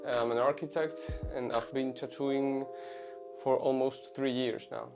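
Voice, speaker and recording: A man's voice: medium pitch, flat delivery, unhurried, vocal fry, over loud music. A man in his twenties from Venezuela, a tattoo artist answering interview questions casually, with many fillers and restarts. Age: 20s.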